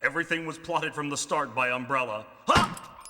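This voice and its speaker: A suspicious, wounded squad captain from a 1990s video game. He is piercingly forceful and rather high, with stilted melodrama. Long reverb trails his lines. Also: normal speed. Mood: grim accusing. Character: wary, wounded male police squad captain.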